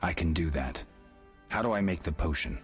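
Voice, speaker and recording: An actor playing a stoic, world-weary monster hunter in a video game, speaking in a terse, low growl. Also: male voice, moderate pace, faint music behind. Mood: subdued, terse. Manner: terse, flat, understated.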